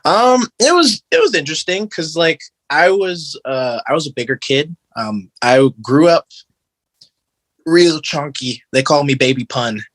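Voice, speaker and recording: A man's voice: fairly high pitch, sing-song, unhurried, fairly bright tone, no room sound. An American man chatting casually as a podcast guest, telling stories in an informal, slangy way. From Michigan, United States. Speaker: podcast guest, metalcore guitarist. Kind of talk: casual conversational storytelling.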